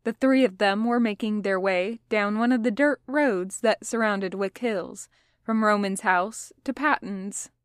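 A woman's voice: medium pitch, measured pace, smooth, no echo, studio quality. A woman reading scripted fiction as an audiobook narrator. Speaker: podfic narrator.

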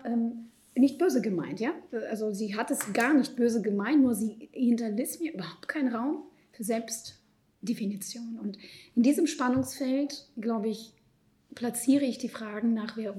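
A middle-aged woman with a rather high but mellow, warm voice speaks on a discussion panel. She is thoughtful and pauses often on fillers, letting phrases trail off softly. She was born in Ukraine and grew up in Israel. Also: slight background noise. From born in Ukraine, raised in Israel. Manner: thoughtful, hesitant with frequent fillers and soft trailing asides. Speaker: psychologist and panel guest.

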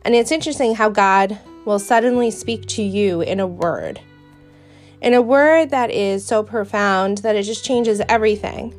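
A woman's voice: mid-range voice, moderate pace, faint music behind. A young adult woman with a New York accent, hosting a podcast and talking in an earnest, chatty way about her faith. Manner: earnest and chatty, with fillers and restarts. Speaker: podcast host. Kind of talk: casual faith podcast monologue.

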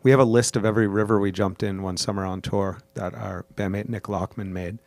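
A man's voice: on the low side, steady tone, brisk, a little mellow, no echo. A man who plays in the band, talking in a grateful, rambling way as a guest in a studio. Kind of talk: casual interview chat. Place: recording studio.

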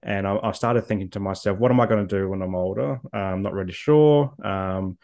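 A man's voice: fairly low pitch, brisk, vocal fry, darkish tone, muffled recording. A man in his forties, speaking reflectively as an interview guest, with frequent ums and repeated words. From Australia. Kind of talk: personal story in an interview.

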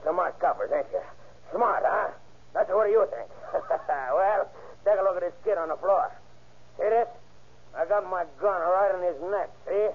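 A man's voice: high voice, unhurried, breathy edge. An actor playing a cruel, gloating gangster with sneering, slangy tough-guy talk in an old-time radio crime drama. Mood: threatening, taunting. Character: gloating, cruel armed stick-up gangster, leader of the gang. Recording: muffled, narrow-band vintage radio recording.

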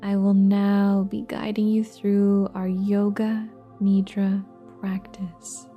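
A woman leading a guided relaxation meditation in a soft, gentle, breathy and soothing voice, leaving long pauses between phrases. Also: mid-range voice, monotone, muffled recording. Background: soft new-age music with singing bowls.

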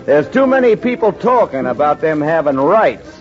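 A sneering bigoted small-town lawyer. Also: male voice, a little high, sing-song, moderate pace. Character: smug bigoted small-town lawyer, middle-aged man. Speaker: actor. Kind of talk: 1940s radio acting. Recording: dull old radio recording with music.

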